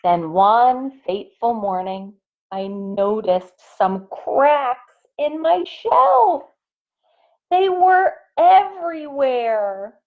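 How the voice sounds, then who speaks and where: Woman as earnest little storybook egg, well-meaning, overburdened, drawn-out delivery, phone-like sound. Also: fairly high pitch, sing-song, pitched above the performer's own voice, full character voice. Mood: weary, strained. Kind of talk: children's storybook narration in character. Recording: phone-like, narrow-band sound.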